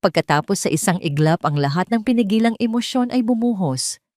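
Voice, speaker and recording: A woman with a Filipino accent reading a scripted dramatic story in a measured, solemn manner. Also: medium pitch, animated, a little mellow, dry recording, studio quality. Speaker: story narrator.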